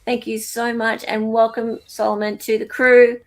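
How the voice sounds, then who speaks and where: Effusive Australian woman with a higher-pitched voice. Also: flat delivery, moderate pace. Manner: effusive, grateful, encouraging. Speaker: livestream host. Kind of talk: casual live chat with viewers.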